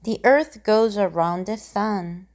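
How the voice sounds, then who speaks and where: Woman, mid pitch, slow clear reading, example sentences, even pauses.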